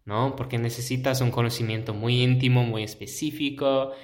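A young American man, a native English speaker, hosting a solo educational podcast and explaining his points in a measured, reflective way. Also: medium pitch, steady tone. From United States. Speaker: podcast host.

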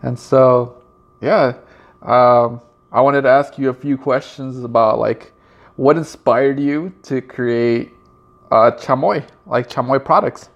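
A man in his thirties from Los Angeles, hosting a relaxed, friendly interview with plenty of fillers. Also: medium pitch, slow, vocal fry, lo-fi sound. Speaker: host. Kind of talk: casual interview. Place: indoors, downtown studio.

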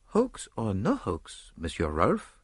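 A man voicing a dry, courtly detective with a French accent and a deliberate, gently mocking manner. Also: mid-range voice, wildly swooping pitch, husky, dry recording, pitched above the performer's own voice, exaggerated voice. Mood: dry, resigned.

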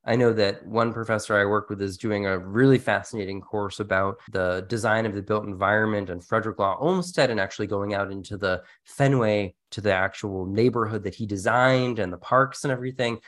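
A man co-hosting an educational podcast, talking through teaching advice in an earnest, explanatory, conversational way. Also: lowish voice. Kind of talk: conversational teaching-tips discussion.

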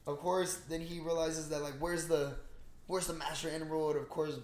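A man co-hosting a casual podcast, retelling a film in slangy, swear-heavy, animated talk. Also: on the high side, normal speed. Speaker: podcast co-host. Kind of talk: casual movie recap chat. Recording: roomy, echoey sound.

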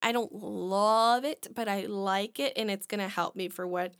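Halting woman in her twenties, dry sound. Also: mid-range voice, fairly bright tone, no echo. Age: 20s. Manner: rambling, halting, many restarts and fillers. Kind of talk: casual chat.

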